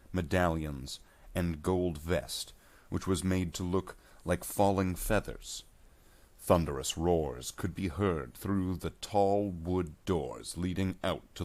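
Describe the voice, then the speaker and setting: A man with a low-pitched voice serves as narrator for a science fiction tale. He reads from a script in a measured, dramatic storytelling style, keeping to his own voice throughout. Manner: measured storytelling delivery without character voices.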